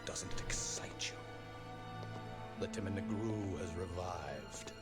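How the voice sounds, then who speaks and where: An actor playing a sinister, grandiose villain in a video game cutscene, speaking in a theatrical, deliberate manner. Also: male voice, low voice. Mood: grandiose, reverent. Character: sinister grandiose male villain revering an ancient demonic tower. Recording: loud music over the voice.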